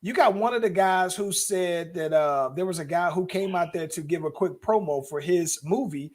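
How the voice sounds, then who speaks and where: A man's voice: sits high, moderate pace. A man co-hosting a podcast in casual chat, who rambles with many restarts and repeated words. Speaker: podcast co-host.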